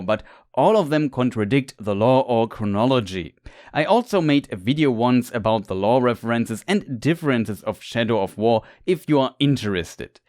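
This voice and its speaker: A man's voice: mid-range voice, a little mellow, no echo, clean studio sound. Man explaining fantasy lore. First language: German. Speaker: lore video creator. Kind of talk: scripted lore narration.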